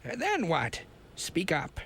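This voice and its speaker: A man voicing a huge, shaggy, frightened scientist with a German accent who talks in hushed whispers. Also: on the high side, huge pitch swings, takes it slowly, creaky, a little hiss or hum, voice pushed up, heavily acted. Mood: urgent.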